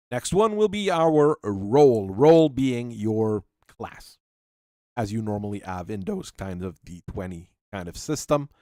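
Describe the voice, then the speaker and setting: A man at a middle pitch, thinking out loud as he builds a tabletop game character. He reads rules aloud in a halting, musing way. His voice is close and dry, with no room sound around it. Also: no room sound. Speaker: channel host. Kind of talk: talking through tabletop character creation.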